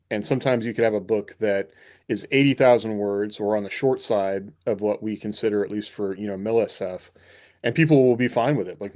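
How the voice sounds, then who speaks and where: A man's voice: on the low side, a little mellow. A man hosting a podcast, talking casually and explaining his points in a conversational way. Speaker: podcast host. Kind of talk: casual discussion of fiction writing.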